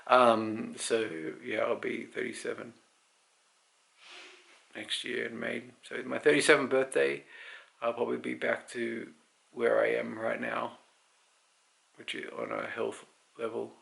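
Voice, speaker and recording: Guy in his 30s just chatting to his vlog, gravelly creaky voice, pretty even tone, rambly and honest. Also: medium pitch. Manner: rambling, candid, halting thinking aloud. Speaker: vlogger. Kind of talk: casual unscripted personal health update.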